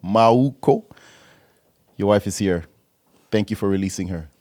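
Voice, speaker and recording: A man hosting a church podcast, interviewing a guest in a relaxed way that is full of restarts and drawn-out phrases. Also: lowish voice. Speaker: podcast host.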